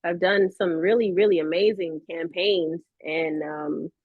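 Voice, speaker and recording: A woman's voice: lowish voice, fairly even intonation, measured pace, husky, dry recording. A woman talking casually and expressively as an interview guest, a voice actress telling her own stories. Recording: dull, lo-fi audio.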